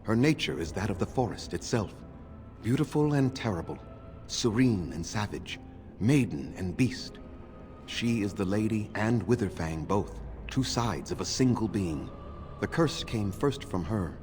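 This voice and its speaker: Low-voiced, proud ancient elf leader. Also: male voice, lively intonation, darkish tone, faint music behind. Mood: grave. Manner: measured, formal, condescending. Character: ancient bitter male elf clan leader, proud, unforgiving. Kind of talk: dramatic fantasy game acting.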